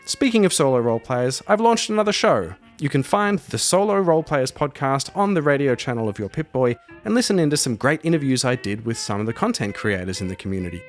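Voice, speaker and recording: A man's voice: medium pitch, animated, fairly quick, few pauses, faint music behind. A man hosting a solo roleplaying podcast, delivering scripted commentary and narration. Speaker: podcast host and solo game master.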